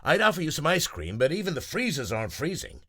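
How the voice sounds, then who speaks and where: A man doing a flustered high shopkeeper. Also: wildly swooping pitch, quick pace, pushed and bright, slightly rough, exaggerated voice. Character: worried older male shopkeeper. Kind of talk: children's storybook reading.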